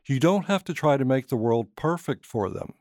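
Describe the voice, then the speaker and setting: A man's voice: mid-range voice, lively intonation, moderate pace, darkish tone, no room sound, studio quality, natural voice. A man voicing a practical, reassuring wife and mother in a story reading. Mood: gently firm.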